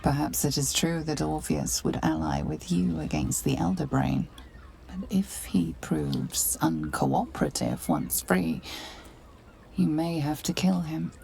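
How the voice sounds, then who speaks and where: A woman playing a solemn, guarded adventurer in a fantasy video game, speaking in a grave, measured way. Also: low-pitched, huge pitch swings, vocal fry, dark tone, a little hiss or hum, heavily acted, rough recording. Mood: grave, wary. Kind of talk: video game character acting.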